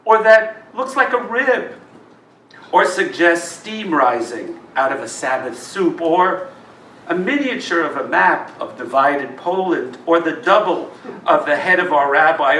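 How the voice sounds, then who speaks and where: A roomy, slightly noisy live recording, picked up from a distance. A man reads poetry with dramatic, deliberate phrasing. His voice is bright and forceful, moving slowly through wide swings of pitch. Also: expressive. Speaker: poet reading own work. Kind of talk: expressive live poetry reading. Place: live reading in a roomy indoor venue.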